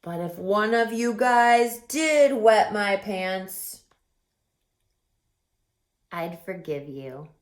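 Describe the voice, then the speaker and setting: A woman's voice: mid-range voice, lively intonation, very slow, long pauses. A woman voicing an indignant male bear who accuses his friends in a huffy, dramatic way. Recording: roomy echo.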